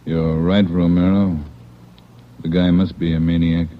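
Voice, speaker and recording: A brisk chief of detectives gives short, commanding orders. His voice is on the low side. The recording is dull and lo-fi, with a faint hiss behind it. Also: flat delivery.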